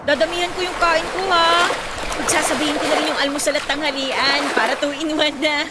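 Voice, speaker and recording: A woman's voice: high-pitched, steady tone, a little fast, very noisy, lightly acted. A woman playing a cheerful, cheeky young singer chatting respectfully with her mother in a Tagalog radio drama. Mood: warm, casual. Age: young adult. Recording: loud music bed behind the voice.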